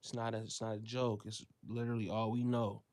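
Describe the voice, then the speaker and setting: A man delivering a profane, ranting monologue, dropping to a low mutter and then jumping to loud, high exclamations. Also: little pitch movement, a little fast. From United States. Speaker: commentator.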